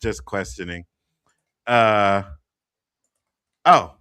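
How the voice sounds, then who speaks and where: A man hosting a casual online chat, loose and joking, swearing freely. His voice is bright, and the sound is dry and close. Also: fairly low pitch, very slow, smooth, dry recording. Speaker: host.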